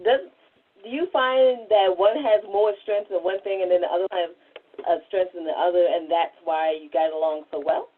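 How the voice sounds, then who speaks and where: A woman's voice: on the low side, steady tone, moderate pace, husky. A woman co-host asking questions in a casual podcast interview. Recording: tinny phone-like line.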